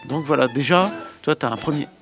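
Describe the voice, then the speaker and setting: A man's voice: on the high side, fairly quick, fairly bright tone. A man hosting a music radio show in a playful, casual way. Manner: playful, laughs often while presenting. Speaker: radio host.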